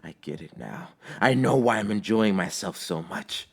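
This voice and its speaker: A man's voice: fairly low pitch, animated, normal speed, vocal fry. A man voicing a deranged, monstrous young man who rants with gleeful, bloodthirsty intensity in an anime-style story. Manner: ranting, madly intense.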